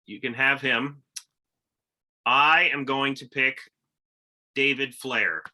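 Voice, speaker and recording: A man's voice: mid-range voice, takes it slowly, pushed and bright. A man co-hosting a casual wrestling podcast, upbeat and teasing his co-host.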